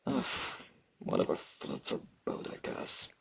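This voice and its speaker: A man playing a blunt, awkward fantasy knight and warrior in an intimate ASMR roleplay, halting and dryly dismissive, with a low, gravelly, husky voice and sibilant S sounds. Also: no room sound, lo-fi sound, lightly acted. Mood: dry, reluctant.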